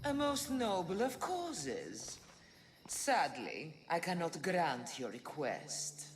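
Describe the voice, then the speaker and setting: A low-voiced, proud riddling she-monster in a video game. She speaks slowly and theatrically, with teasing swoops in her delivery, over clear music. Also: sing-song. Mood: imperious. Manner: theatrical, deliberate, cryptic. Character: riddling female monster guarding ancient power, proud, teasing. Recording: music behind the voice.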